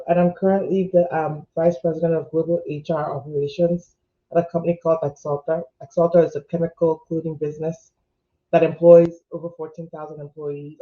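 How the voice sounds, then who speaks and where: A man's voice: a little high, dark tone, muffled recording. A man in his thirties who grew up in Nigeria, introducing himself on an online panel in an upbeat, chatty way. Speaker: panelist, HR executive.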